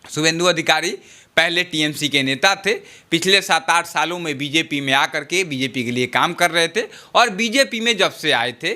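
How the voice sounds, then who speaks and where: Forceful, bright-voiced man presenting political news. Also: a little high, moderate pace. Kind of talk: political news commentary, explanatory.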